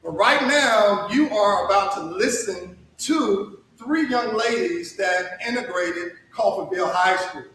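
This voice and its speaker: A man's voice: high-pitched, measured pace, few pauses, pushed and bright, slight background noise. Man, podcast host, upbeat and emphatic, distant mic, very echoey room. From Mississippi. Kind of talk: hosting a panel interview.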